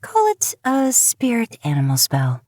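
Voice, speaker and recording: Woman acting a chirpy, lilting mischievous witch, higher-pitched. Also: huge pitch swings, unhurried, a little mellow, no room sound, studio quality, heavily acted. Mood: playful, smug. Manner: playful, breezy, unrepentant. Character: chirpy, teasing, mischievous adult female witch. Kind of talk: podfic character voice.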